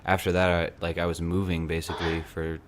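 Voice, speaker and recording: A man's voice: low-pitched, monotone, fairly quick, a little hiss or hum. A young man hosting a podcast in casual chat, rambling with lots of restarts and filler, and losing his voice. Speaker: podcast host. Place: apartment beside a busy night market.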